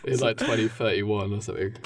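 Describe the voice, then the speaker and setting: A man co-hosting a podcast, chatting casually and pitching ideas in a hesitant, halting way. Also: fairly even intonation, heavy background noise. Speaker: podcast co-host. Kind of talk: casual brainstorming chat.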